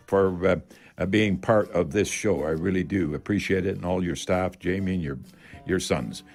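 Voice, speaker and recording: An older man with a Canadian accent hosts a home improvement radio show in a folksy, rambling way, repeating words for emphasis. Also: fairly low pitch. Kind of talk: folksy live radio talk with product tips.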